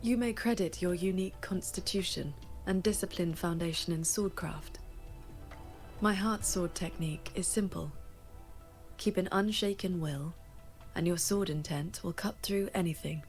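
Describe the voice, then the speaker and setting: An actress playing a calm, solemn female sword mentor in a game, speaking formally and with measured gravity. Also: fairly low pitch, lightly acted. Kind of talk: scripted game voice acting. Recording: music under the voice.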